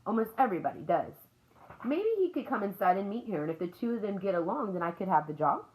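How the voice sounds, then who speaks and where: A woman's voice: mid-range voice, sing-song, quick pace, darkish tone, a little hiss or hum, natural voice, rough recording. A woman voicing an earnest young girl in a storybook, eager, polite and persuasive. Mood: eager, pleading.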